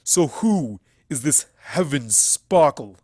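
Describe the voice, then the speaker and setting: A narrator voicing an angry, deluded male alicorn stallion in a story reading, shouting and incredulous. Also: medium pitch, expressive, slow, slightly rough, no echo, studio quality. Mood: defiant fury.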